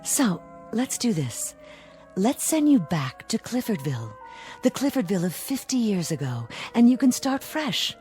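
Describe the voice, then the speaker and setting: An actress playing a suave devil posing as a travel agent in 1960s television drama, speaking with poised, formal precision and cool teasing. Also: female voice, mid-range voice, big pitch swings, unhurried, warm tone. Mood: smooth, persuasive. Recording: old TV soundtrack with background music.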